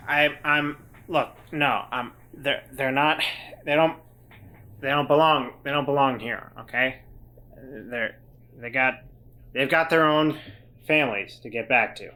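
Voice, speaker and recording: A man playing a flustered, evasive misfit in a tabletop game, halting and stammering defensively. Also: medium pitch, pushed and bright.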